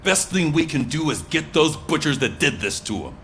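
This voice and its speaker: An actor playing a gruff, authoritative police sergeant giving orders in a video game. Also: male voice, on the high side, big pitch swings, normal speed, fairly bright tone, soft background music. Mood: stern, commanding. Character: gruff, commanding police sergeant, middle-aged man.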